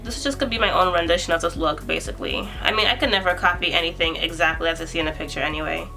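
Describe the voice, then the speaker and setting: A woman's voice: lowish voice, racing, pushed and bright, faint music behind, rough recording. A young woman talking through a makeup tutorial at home, chatty and self-deprecating, thinking aloud as she works on camera. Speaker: beauty vlogger.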